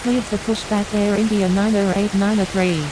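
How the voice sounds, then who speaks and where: A woman's voice: medium pitch, normal speed. A woman in the role of an airline pilot, making formulaic calls over aviation radio. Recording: steady radio hiss over voice.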